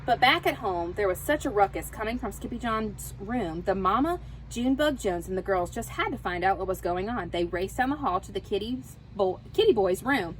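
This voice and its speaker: A woman reading a children's story aloud in an expressive, dramatic way, with Spanish words sprinkled in. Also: mid-range voice, normal speed, few pauses, a little hiss or hum, poor audio. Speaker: storybook reader. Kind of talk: animated children's read-aloud.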